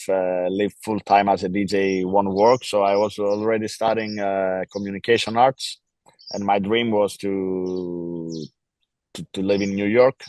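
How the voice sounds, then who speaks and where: A man in his forties with a Greek accent, speaking earnestly and reflectively as a DJ guest in a casual interview, often pausing to search for words. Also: lowish voice, no room sound, low-quality recording. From Greece. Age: 40s.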